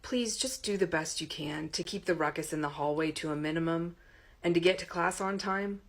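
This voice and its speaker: A woman voicing a firm, quick-tempered but kind college professor in an audiobook, speaking with articulate authority. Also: on the low side. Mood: softer, helpful.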